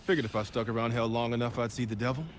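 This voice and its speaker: An actor playing a bitter, reluctant military pilot in a video game, curt and resentful. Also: male voice, mid-range voice, steady tone, racing, slight background noise, lightly acted. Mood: bitter, resigned. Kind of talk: cinematic video game acting.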